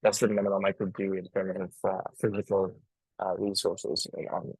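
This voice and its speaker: A man from Afghanistan speaking earnestly as a guest on a live online panel, pausing often and restarting his sentences. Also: sits low, level tone, creaky, a little mellow, breathy edge, dry recording, poor audio. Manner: earnest, halting, many restarts. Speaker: astronomy outreach guest. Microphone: video call.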